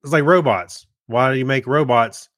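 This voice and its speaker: A man's voice: mid-range voice, moderate pace, a bit bright, dry recording, studio quality. A man co-hosting a podcast, chatting casually in a plain-spoken, conversational way. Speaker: podcast co-host. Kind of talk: casual chat.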